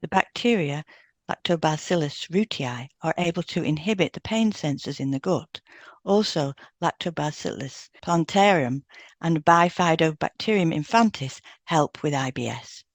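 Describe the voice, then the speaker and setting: A woman co-hosting a health podcast, reading scripted material in a measured, explanatory way and carefully sounding out technical terms. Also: sits low, lively intonation, no echo. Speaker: podcast co-host and hypnotherapist. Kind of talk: scripted educational narration.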